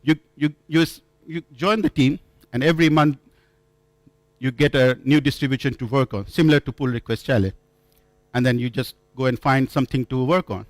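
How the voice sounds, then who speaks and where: A man's voice: mid-range voice, dry recording. A man from India, not an English speaker, giving a conference talk, telling stories with many restarts and self-corrections. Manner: halting, frequent restarts and self-corrections, storytelling. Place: conference room.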